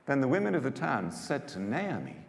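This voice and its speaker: A man performing a dramatised scripture narration in a theatrical, declamatory manner with deliberate pauses, live in a large church hall. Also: medium pitch, sing-song, gravelly. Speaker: Bible storyteller performer. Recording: echoey church hall with long reverb.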